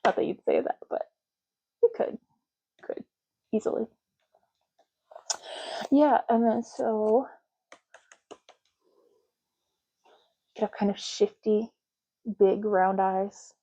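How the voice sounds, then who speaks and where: A woman speaking softly and breathily in an unhurried ASMR roleplay, as a clerk helping a customer, gently lingering on and repeating words. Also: mid-range voice, rough recording. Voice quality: soft, breathy, at times dropping to a whisper. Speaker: ASMR creator playing an ID card clerk in a roleplay. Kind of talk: ASMR roleplay, soft-spoken and casual.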